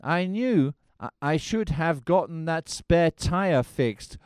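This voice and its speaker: A man's voice: fairly high pitch, expressive, takes it slowly, a little mellow, clean tone, dry recording, studio quality. A man voicing an earnest, square young fiancé who hums and frets. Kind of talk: parody of a musical film.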